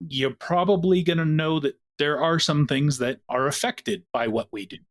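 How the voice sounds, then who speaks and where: A man producing an audio drama, talking about it in an earnest, drawn-out way in a casual podcast chat. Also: a little high.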